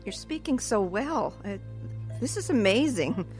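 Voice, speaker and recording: A woman's voice: fairly high pitch, very animated, unhurried, over music. An actress playing a chatty, self-satisfied female retirement home caregiver who flusters easily, in an audio drama. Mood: uneasy, flustered. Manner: chatty, rambling, hesitant when flustered.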